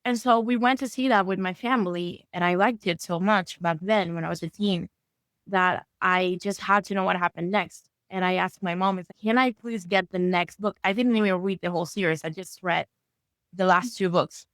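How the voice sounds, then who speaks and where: A woman's voice: on the low side, moderate pace, fairly bright tone, dry recording. A woman in her thirties from Venezuela, a native Spanish speaker, telling a friendly story as a guest author. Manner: friendly, storytelling, uneven pace. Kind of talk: casual interview chat.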